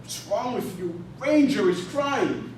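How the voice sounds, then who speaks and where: A woman's voice: expressive, slow, a little mellow. A woman in a staged play reading, playing a weary, exasperated younger sibling in their twenties with a blunt, pleading edge. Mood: urgent. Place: stage reading in a roomy venue. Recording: very echoey room from far off, noisy rumble.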